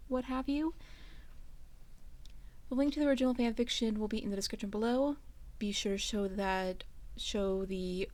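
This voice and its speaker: A woman reading fan fiction aloud as an expressive storybook narrator. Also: a little high. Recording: music under the voice.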